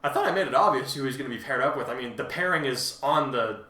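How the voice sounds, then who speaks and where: A man's voice: mid-range voice, fast, crowd noise. A man chatting casually as a co-host, explaining himself in an emphatic, defensive, rambling way. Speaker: fan fiction author and co-host. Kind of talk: casual conversational commentary on listener reviews. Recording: roomy, echoey home recording, distant mic.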